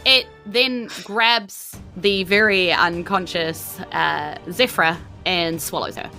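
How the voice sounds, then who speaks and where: Woman, New Zealand accent, game master, casual narration, forward bright tone, faint background music. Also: lowish voice, slow, gravelly. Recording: background music under speech.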